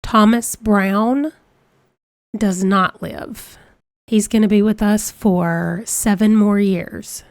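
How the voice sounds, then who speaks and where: A woman's voice: mid-range voice, fairly even intonation, takes it slowly, no room sound, clean studio sound. A woman hosting a let's play, chatty and reading out dice rolls, her voice congested from a cold. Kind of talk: casual game commentary.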